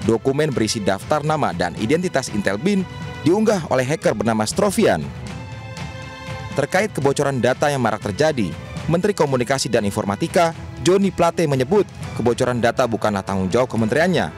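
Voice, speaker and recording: A man's voice: mid-range voice, big pitch swings, fairly quick. A man reading a scripted TV news report as voice-over. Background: news background music.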